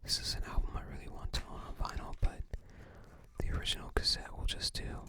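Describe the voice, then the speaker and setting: A person whispering softly and breathily in an unhurried, halting ASMR show-and-tell style. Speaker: ASMR video creator.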